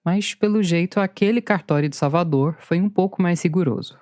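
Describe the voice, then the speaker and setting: A woman's voice: fairly low pitch, brisk, mellow, dry recording. Woman narrating a story podcast dryly. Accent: Brazilian Portuguese. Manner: dry, playful storytelling. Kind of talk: scripted narration with interview clips.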